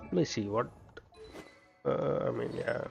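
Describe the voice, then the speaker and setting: A man's voice: mid-range voice, a little slow, creaky, darkish tone. A man giving casual gameplay commentary, thinking aloud as he plays. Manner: musing, thinking aloud while playing. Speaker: game streamer. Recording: dull lo-fi microphone sound. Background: game music.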